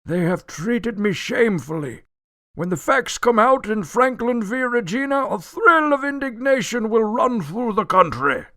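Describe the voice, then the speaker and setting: An actor in a radio drama playing a cantankerous, litigious old gentleman, pompous and indignant, declaiming in a creaky voice. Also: very high-pitched, animated, a little slow, dry recording, studio quality, exaggerated voice. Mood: aggrieved, pompous. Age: older.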